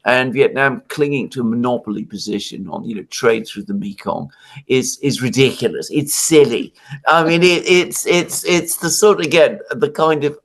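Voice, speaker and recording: Animated Greek-born man, halting restarts. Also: medium pitch. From Greece. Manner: animated, halting restarts, laughs between points. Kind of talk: casual analytical discussion.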